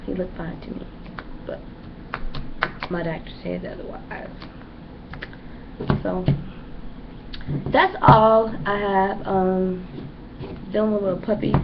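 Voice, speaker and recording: A woman's voice: medium pitch, steady tone, takes it slowly, creaky, long pauses. Chatty young woman, lo-fi noisy recording. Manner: rambling and chatty, many fillers. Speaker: pregnant vlogger. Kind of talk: casual pregnancy update vlog. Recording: dull lo-fi home recording with background noise.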